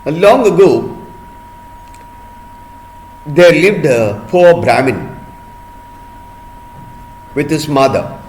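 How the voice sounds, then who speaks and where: A man telling a folk tale to children in a deliberate manner, pausing often and repeating or explaining words as he goes. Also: fairly high pitch, very animated, darkish tone, a little hiss or hum, rough recording. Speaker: storyteller for children. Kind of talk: storytelling for children, with word explanations.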